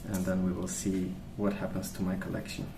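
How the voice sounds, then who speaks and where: Soft-spoken low-voiced young man, echoey ASMR. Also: completely flat delivery. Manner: gentle, unhurried, musing aloud. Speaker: ASMR video creator. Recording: echoey room, clear background noise.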